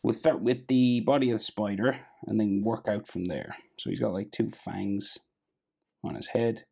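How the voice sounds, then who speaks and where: An Irish man with a gravelly, husky voice, casually talking through a drawing lesson step by step and trailing off quietly as he works. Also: lowish voice, moderate pace. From Ireland. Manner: relaxed, thinking aloud while drawing, trailing off quietly. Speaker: drawing tutor.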